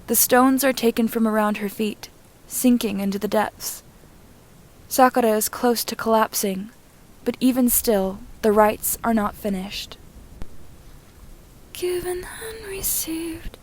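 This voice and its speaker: A woman with a fairly high voice narrates a piece of fan fiction. She reads very slowly and deliberately, setting out each short phrase in a measured, scripted way. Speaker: amateur audiobook narrator.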